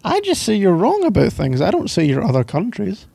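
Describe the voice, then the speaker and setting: A man's voice: high voice, vocal fry, mellow, dry recording. A young Scottish man doing casual boyfriend roleplay ASMR in a playful, teasing, rambling way, close to the microphone. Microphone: close microphone, dry sound.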